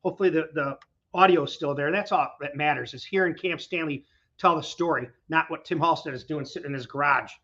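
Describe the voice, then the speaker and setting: A man's voice: a little high, brisk, bright, forceful tone, husky, lo-fi sound. A man hosting a casual interview from his garage, chatty and rambling, his voice recovering from being sick. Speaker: host. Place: his garage, windy outdoors.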